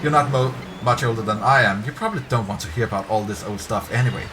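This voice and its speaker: A man's voice: on the low side, fast, natural voice. A man voicing a talkative, uneasy young woman archaeologist in a story read aloud. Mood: apologetic. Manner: talkative, apologetic, hushed confiding. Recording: clear background ambience noise.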